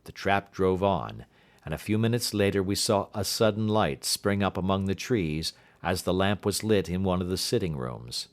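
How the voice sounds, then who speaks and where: A man's voice: deep voice, animated, moderate pace. A man reading scripted literary narration as an audiobook narrator.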